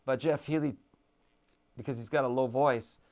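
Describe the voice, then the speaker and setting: A man with a low voice giving a casual guitar lesson, relaxed and chatty, with plenty of fillers. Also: normal speed. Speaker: guitar teacher.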